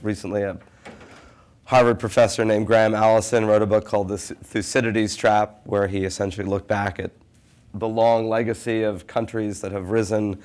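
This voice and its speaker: A man's voice: on the low side, darkish tone, clear, resonant tone. A man with a head cold steering a panel conversation, wry and self-deprecating, asking long winding questions. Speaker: panel moderator. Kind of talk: panel discussion.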